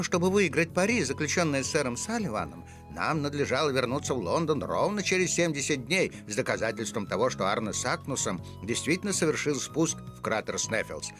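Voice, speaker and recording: A loyal animal companion narrating a cartoon adventure. He tells it earnestly and dramatically, with expressive, wide-moving intonation. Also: medium pitch. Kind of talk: dramatic scripted story narration.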